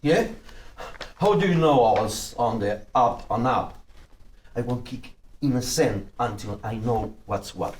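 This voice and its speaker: An actor at a table read, recorded with background noise, playing a distrustful, foul-mouthed gangster. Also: male voice, mid-range voice, lively intonation, unhurried, natural voice. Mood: suspicious, angry. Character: distrustful, bitter, foul-mouthed male mobster. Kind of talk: live script table reading. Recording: distant mic, clear background noise.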